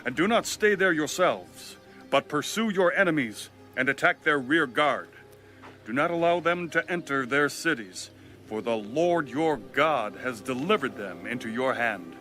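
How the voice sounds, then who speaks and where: An actor playing an authoritative ancient military leader in a scripture audio drama, giving orders. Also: male voice, mid-range voice, animated, bright, forceful tone, faint music behind. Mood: commanding. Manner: slow, authoritative commanding delivery. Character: commanding male military leader of ancient Israel.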